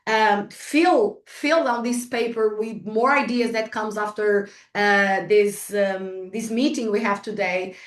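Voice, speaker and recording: A woman's voice: medium pitch, fairly even intonation, slow pace, hardly any pauses. A woman with a Portuguese accent, a Portuguese native speaker, giving a chatty motivational workshop talk to an online group, full of restarts and small laughs. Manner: chatty, frequent restarts and fillers, laughs at herself. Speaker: guest speaker. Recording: echoey room, distant from the mic.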